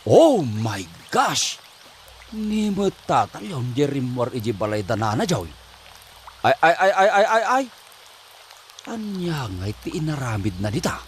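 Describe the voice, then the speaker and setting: Man acting a lively, nosy, scolding fellow. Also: a little high, unhurried, slight background noise. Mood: shocked, teasing. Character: nosy, scolding male acquaintance, loudly suspicious. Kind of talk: comedy audio drama acting.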